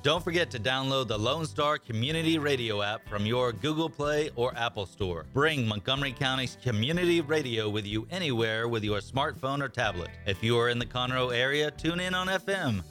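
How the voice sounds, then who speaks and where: Bright-voiced man reading a radio promo. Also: mid-range voice, lively intonation, normal speed, few pauses, faint music behind. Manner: upbeat announcer delivery. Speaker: station announcer.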